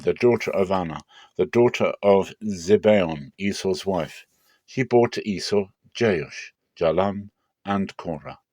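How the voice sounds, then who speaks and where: A man reading scripture aloud dramatically, in measured and deliberate phrases with clear pauses. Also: low voice, no echo. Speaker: Bible reader.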